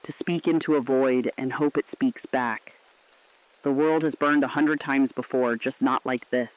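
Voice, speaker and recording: A man's voice: mid-range voice, fairly even intonation, unhurried, lo-fi sound, natural voice. A man playing a mournful but defiant last radio broadcaster, speaking solemn, earnest, poetic lines in a scripted drama. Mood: tender, defiant.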